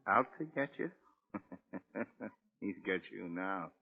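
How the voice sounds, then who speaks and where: An actor playing a cocky, hard-boiled American gangster on death row in 1940s radio drama, sneering and taunting in slangy tough talk. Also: male voice, low voice, lively intonation, takes it slowly, creaky, exaggerated voice. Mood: defiant. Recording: muffled old radio recording with long reverb.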